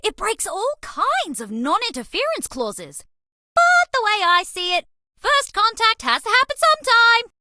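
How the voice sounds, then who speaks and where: A woman's voice: extremely high pitch, very animated, unhurried, sharp tone, dry recording, studio quality, exaggerated voice. A woman voicing a bubbly, cheese-obsessed young alien rodent space traveler in a video game, with a perky, theatrical delivery. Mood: upbeat, fond. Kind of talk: animated game character voice lines.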